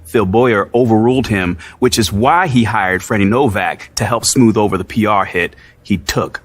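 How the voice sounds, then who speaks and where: A man's voice: fairly low pitch, normal speed, close to an everyday voice. A man playing a friendly but needling sports reporter in a video game cutscene, conversational and pointed. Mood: probing, needling.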